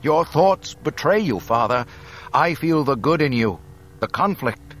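An earnest young hero performed by a male audiobook reader, pitched up and very animated. He speaks with impassioned emphasis, defiant yet sincere, as a son standing his ground. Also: measured pace. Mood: pleading. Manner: impassioned, emphatic, raised-voice delivery. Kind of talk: dramatic audiobook character voice.

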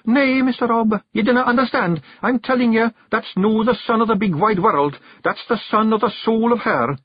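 A man's voice: sits high, moderate pace, slightly rough, no room sound, lo-fi sound, pitched above the performer's own voice. A man voicing a young, nervous male pictsie seer with a Scottish accent, earnest and anxious. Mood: frightened.

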